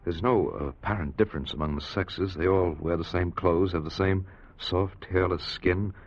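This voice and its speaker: An actor playing a bold, authoritative scientist and time traveller in an intense 1950s radio drama style. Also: male voice, deep voice, normal speed. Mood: grave, assured. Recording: muffled, rough vintage radio recording.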